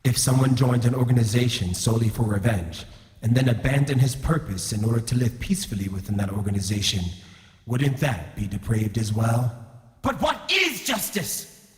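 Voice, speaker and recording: An actor playing a bitter, righteous male warrior in an anime fan dub, speaking in a solemn, deliberate, dramatic way. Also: mid-range voice, sing-song, lightly acted. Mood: grave, bitter. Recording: echoey, long cavernous reverb.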